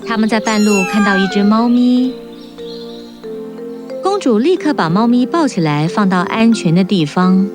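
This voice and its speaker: A woman narrating a children's fairy-tale cartoon in a calm, even storytelling manner. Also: mid-range voice, moderate pace, over music.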